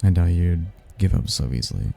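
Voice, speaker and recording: Casting: male actor as suave demon seducer for ASMR roleplay, very low gravelly voice, courtly and flirtatious, prideful. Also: expressive, fairly quick, mellow, full character voice. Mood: admiring, teasing. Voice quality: husky, gravelly. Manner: smooth-talking, courtly, flirtatious. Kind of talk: ASMR roleplay, one-sided romantic conversation. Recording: music behind the voice.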